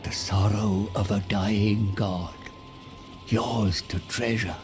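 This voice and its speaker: An actor playing a scheming, servile mortal advisor, narrating a fantasy game cutscene in a measured, portentous, coaxing way. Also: male voice, fairly low pitch, steady tone, music in the background. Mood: ominous, bargaining. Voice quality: gravelly.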